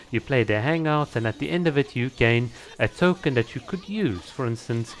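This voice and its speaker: A man talking casually to viewers as a video creator, explaining his opinions and laying out ideas with examples. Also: mid-range voice.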